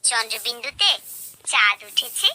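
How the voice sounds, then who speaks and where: A woman reciting children's alphabet rhymes in a singsong way, enunciating each phrase deliberately as a presenter for small children. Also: on the high side, animated, bright, forceful tone, a little hiss or hum.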